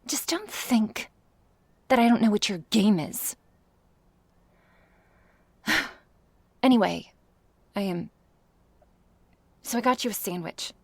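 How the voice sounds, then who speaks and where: A woman playing a jealous, menacing vampire in an ASMR roleplay, sarcastic and taunting, often dropping into breathy hisses. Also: mid-range voice, slow pace, long pauses, dry recording. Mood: exasperated, scornful.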